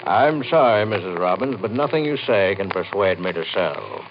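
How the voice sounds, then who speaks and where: A man's voice: on the low side, expressive, moderate pace, music in the background. An actor in an old-time radio mystery playing a stubborn, skeptical man, speaking in a firm, formal, challenging way. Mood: firm, unmoved. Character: stubborn, skeptical, unafraid male homeowner. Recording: muffled, noisy old radio recording.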